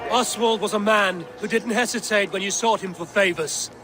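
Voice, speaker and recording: A man voicing a resolute Viking warrior leader in a game cutscene, speaking in a firm, measured, commanding way. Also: sits high, lightly acted. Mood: rousing. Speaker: game protagonist. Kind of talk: video game cutscene acting. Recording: game audio under a music score.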